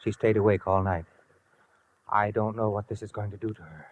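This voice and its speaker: A man's voice: fairly low pitch, steady tone, brisk, a little hiss or hum, close to an everyday voice. An actor playing a troubled, plain-spoken working man, a loyal friend, in a 1950s radio drama. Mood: anxious, reluctant. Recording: muffled, band-limited 1950 radio recording.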